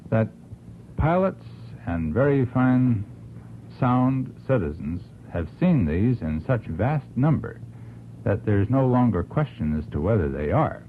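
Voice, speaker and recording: A man speaking as a panel guest on a radio talk show, earnest, with deliberate and hesitant phrasing. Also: lowish voice, sing-song. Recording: thin, narrow old radio recording, slight noise.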